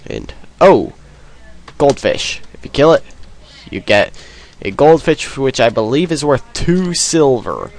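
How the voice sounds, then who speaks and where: A man's voice: mid-range voice, very animated, takes it slowly, a little mellow. Man with a cold narrating gameplay. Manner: rambling, self-deprecating, many ums. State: sick with a cold, sniffling. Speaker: let's play gamer. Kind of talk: casual game commentary.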